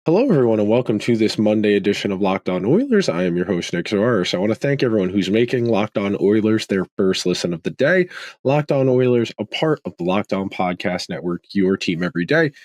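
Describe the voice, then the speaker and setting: Slightly raspy low-voiced male podcast host. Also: very animated, a little fast, a little mellow, no room sound. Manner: conversational, thinking aloud with frequent restarts. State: slightly raspy from a throat tickle. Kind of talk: casual sports podcast monologue.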